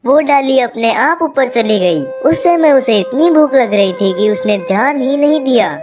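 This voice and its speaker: High-voiced woman storyteller over music. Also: animated, a little slow. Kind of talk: scripted narration of a children's moral story. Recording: clear music behind the voice.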